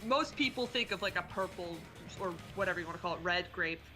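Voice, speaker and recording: A woman's voice: fairly low pitch, fast talker, music in the background. A woman explaining things casually as a gaming co-host. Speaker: let's play co-host.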